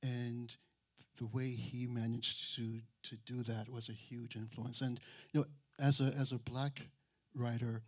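Even-toned middle-aged man, measured. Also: mid-range voice. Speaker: writer and critic on a panel. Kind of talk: thoughtful panel discussion. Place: auditorium stage. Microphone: handheld microphone held near mouth.

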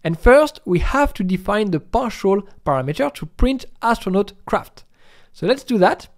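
A man with a high-pitched voice presents a step-by-step software tutorial. His intonation moves up and down a lot as he explains each step in an instructional manner. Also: animated, moderate pace.

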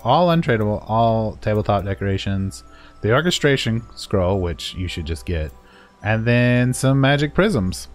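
A man with a fairly low voice talks through a game guide casually and without a script. He is playful and happy to poke fun at himself. Game music plays faintly behind him. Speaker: gaming video creator and guide narrator. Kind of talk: casual, unscripted game walkthrough commentary.

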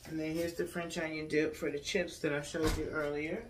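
A woman's voice: deep voice, level tone, a little fast. An American woman vlogger chatting casually to viewers in a folksy, colloquial way as she unpacks. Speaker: vlogger showing a grocery haul. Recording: echoey room, distant mic, clear background noise.

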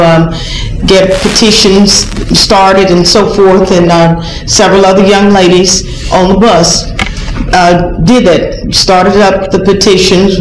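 Folksy woman rambling at a podium, big echoey room, noisy. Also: on the low side. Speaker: bus rider speaking at public comment. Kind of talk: public comment at a board meeting. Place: large council chamber. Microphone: podium microphone, heard from a far camera. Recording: echoey chamber with background noise.

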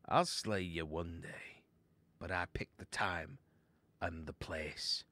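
A man's voice: low-pitched, slow, bright, forceful tone, voice pushed down, full character voice. A man voicing a brave, defiant warrior mouse held as a slave in a storybook. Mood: grim. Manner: grim, defiant.

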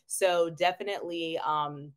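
A woman's voice: on the low side, fairly even intonation, a little slow, clear, resonant tone. A woman nurse hosting an online webinar on a video call, chatty and upbeat, with lots of ums and frequent laughs. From North Carolina, US. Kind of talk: casual webinar presentation. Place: home room.

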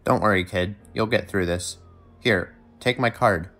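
A man's voice: lowish voice, a little slow, fairly bright tone, soft background music. A man playing a composed, sympathetic police detective in an audio drama. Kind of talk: amateur audio drama acting.

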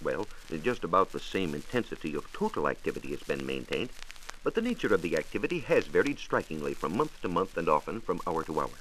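A man's voice: low voice, wildly swooping pitch, fast, a bit bright. An American man, a science reporter narrating a scripted 1940s radio programme in a formal, precise, emphatic way. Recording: faintly noisy 1940s radio transcription disc.

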